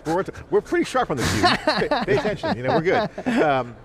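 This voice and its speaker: A man's voice: sits high, wildly swooping pitch, moderate pace. A man in his fifties hosting a live conference interview, talking in run-on, enthusiastic patter on a headset mic. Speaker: host. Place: convention hall floor.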